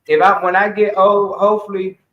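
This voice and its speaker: Echoey, high-voiced man talking emphatically. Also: little pitch movement, fairly quick. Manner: emphatic, exhorting, colloquial, swearing. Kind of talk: casual opinionated community talk. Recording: dull, echoey room sound.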